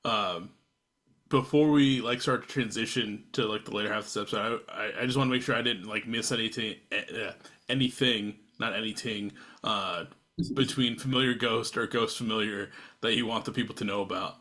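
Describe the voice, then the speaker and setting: A man's voice: fairly low pitch, fairly even intonation, a little fast, sharp tone. A man hosting a casual podcast chat, rambling a little and laughing along with his guests. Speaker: podcast host. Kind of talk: casual chat over beers.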